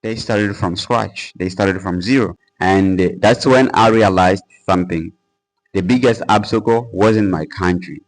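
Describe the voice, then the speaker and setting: A man's voice: low voice, measured pace, low-quality recording. Earnest young man, English. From Portuguese-speaking country. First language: Portuguese. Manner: earnest, casual with "bro" and fillers. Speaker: English-learning YouTuber. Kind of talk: motivational talk to camera.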